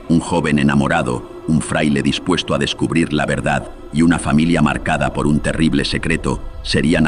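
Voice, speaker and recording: A man narrating scripted horror tales as host, solemn, ominous and theatrical, with a processed voice. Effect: deepening voice filter.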